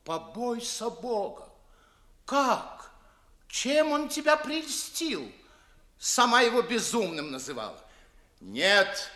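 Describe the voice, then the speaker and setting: A man's voice: high-pitched, sharp tone, echoey room, full character voice. An actor playing a pompous, blustering older father and master, scolding in exclamatory verse in a 1950s radio comedy.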